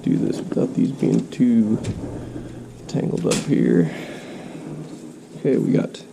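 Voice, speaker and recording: A man's voice: mid-range voice, slow, creaky, noisy background. A man with a cold and a stuffy nose, talking in a nasal voice in a rambling, casual show-and-tell for a fishing vlog, recorded at home. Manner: rambling, with restarts. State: stuffy nose, slight cough. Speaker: fishing vlogger. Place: home, in quarantine.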